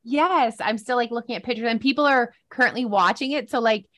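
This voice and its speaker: A woman in her thirties co-hosting a podcast, teasing and excitable, saying "like" a lot. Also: fairly high pitch, a little fast. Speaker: podcast co-host. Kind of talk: casual podcast chat.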